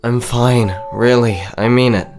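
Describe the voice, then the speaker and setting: An actor playing an earnest, smitten teenage schoolboy athlete in an English anime dub. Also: male voice, mid-range voice, steady tone, measured pace, music in the background, lightly acted. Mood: flustered reassurance.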